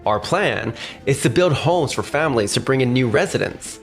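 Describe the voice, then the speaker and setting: Man acting a cocky, animated young salesman. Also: medium pitch, moderate pace, gravelly. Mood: eager pitching. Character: overconfident, pushy young male real estate salesman. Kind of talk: anime-style manga dub acting. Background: faint background music.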